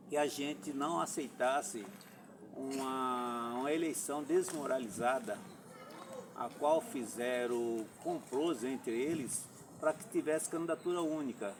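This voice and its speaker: A man in his fifties from Alagoas, a former mayoral candidate, telling his story slowly and deliberately in a podcast interview, with halting and formal phrasing. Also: medium pitch, distant mic. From Alagoas, Brazil. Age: 50s. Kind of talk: podcast interview, personal account. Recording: voice from off-mic with clear background noise.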